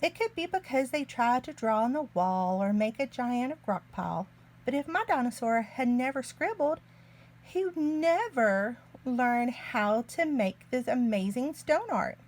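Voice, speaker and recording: A woman reading a picture book aloud to young children in an expressive, deliberate way. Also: a little high. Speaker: children's story reader, early learning teacher.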